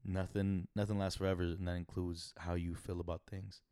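A young man with a raspy voice muses on a podcast, pausing and restarting as he works through his thoughts. His voice is low, and the sound is dry and close to the mic. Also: little pitch movement, warm tone, no echo. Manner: thoughtful, hesitant, many "uh" and restarts. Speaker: podcast co-host. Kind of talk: casual chat.

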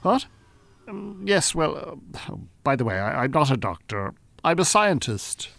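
Lively, high-voiced pompous Irish con-man. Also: unhurried, creaky, full character voice. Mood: formal, flustered. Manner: formal, pompous, bossy. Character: smooth scheming Irish male hypnotist and con-artist posing as scientist. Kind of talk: radio drama acting.

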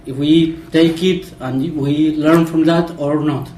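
Halting man, far from the mic. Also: on the high side, fairly even intonation, warm tone, reverberant, slight background noise. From Argentina. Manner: halting, many fillers and restarts. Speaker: podcast guest. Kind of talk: casual football podcast chat.

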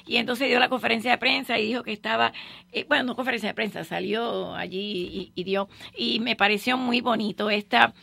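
A woman's voice: fairly high pitch, fairly quick, vocal fry, sharp tone, husky, dry recording. A woman hosting a talk radio show, chatting loosely with many fillers and restarts. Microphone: studio microphone.

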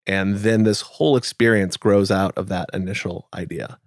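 Casting: male podcast host, lowish voice, easygoing chat, dry close sound. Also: moderate pace, no echo. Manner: relaxed, thinking aloud with fillers. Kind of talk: casual conversational chat.

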